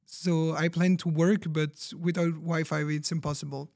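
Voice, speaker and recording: A man's voice: on the high side, little pitch movement, moderate pace, vocal fry, a little mellow. A man playing a proud, logic-minded young podcast guest, telling his story carefully and earnestly. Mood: earnest, self-assured. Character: logic-obsessed, proud, hardworking young guest from rationalist community. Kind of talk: fiction podcast backstory monologue.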